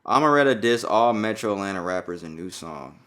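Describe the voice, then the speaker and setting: A man's voice: fairly low pitch, expressive, fairly quick, fairly bright tone. A young adult man from Jamaica, raised in New York, co-hosting a podcast in loose, profane casual chat. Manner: loose and profane, riffing on a viral song. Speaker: podcast co-host.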